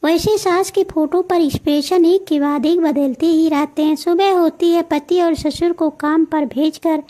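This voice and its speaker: Female cartoon storyteller: extremely high, girlish pitch, soft mellow tone, scripted narration. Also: steady tone, unhurried.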